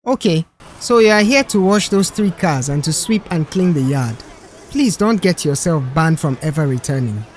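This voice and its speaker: A man's voice: a little high, animated, normal speed, slight background noise, natural voice. A man voicing a serious, wary young male mechanic in an audiobook, firmly cautioning as a big brother. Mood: stern, wary. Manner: firm, cautioning. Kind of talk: audiobook character dialogue.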